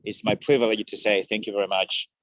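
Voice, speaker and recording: A man's voice: fairly low pitch, level tone, fast, no echo, low-quality recording. A man from Tanzania with a Tanzanian accent, speaking effusively at a community forum in broken English. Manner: effusive, warm praise, broken English phrasing. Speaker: guest speaker. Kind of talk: enthusiastic community forum remarks.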